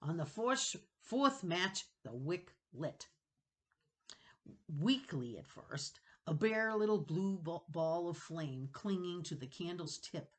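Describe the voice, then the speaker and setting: A woman narrating a children's novel dramatically, reading with expression and suspense. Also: low-pitched. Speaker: storybook reader.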